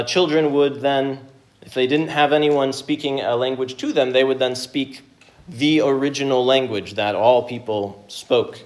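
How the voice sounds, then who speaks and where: A man of middle pitch preaching with earnest, measured delivery. He reads scripture, prays, and teaches, pausing between phrases. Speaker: pastor. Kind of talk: sermon with scripture reading and prayer.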